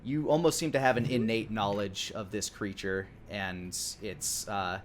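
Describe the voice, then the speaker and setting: A man's voice: on the low side, steady tone, unhurried. A man narrating a tabletop game as game master, improvising and searching for words as he describes things. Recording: clearly noisy background.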